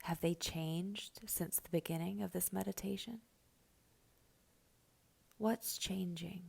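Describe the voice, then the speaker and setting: Slow, hushed woman guiding a meditation. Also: fairly low pitch, steady tone. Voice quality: soft, breathy, often near whisper. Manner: gentle, slow, instructing with long pauses. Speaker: guided meditation host. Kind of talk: slow guided meditation narration.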